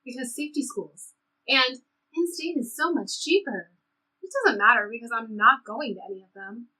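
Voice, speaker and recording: A woman's voice: a little high, moderate pace, sharp tone, low-quality recording. A woman playing a frustrated, sarcastic seventeen-year-old girl in an audio play, speaking emphatically and conversationally. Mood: earnest. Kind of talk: audio play reading, conversational teen dialogue.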